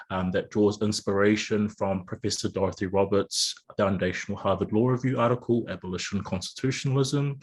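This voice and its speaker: A man's voice: on the low side, slightly rough. A man from Samoa, a law lecturer giving an earnest prepared talk over a video call, with some stumbling restarts. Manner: earnest, with occasional stammered restarts.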